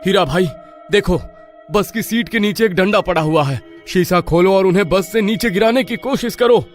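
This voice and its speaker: A man voicing a resolute young husband in a horror-comedy cartoon, giving quick orders in a crisis. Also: sits high, faint music behind, lightly acted. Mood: urgent. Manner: takes charge, gives quick orders.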